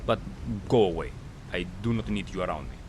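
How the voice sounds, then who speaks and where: A man giving casual gameplay commentary as a recap, talking in short clipped phrases with pauses. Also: low-pitched, animated, noisy background. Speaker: gaming video creator.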